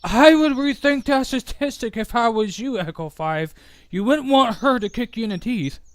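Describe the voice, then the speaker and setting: A man playing a kindly, reassuring inventor with a gentle, folksy manner in a scripted game roleplay. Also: very high voice, animated, normal speed, no room sound, studio quality. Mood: friendly. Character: kindly, reassuring male inventor and household head. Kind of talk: scripted Minecraft roleplay acting.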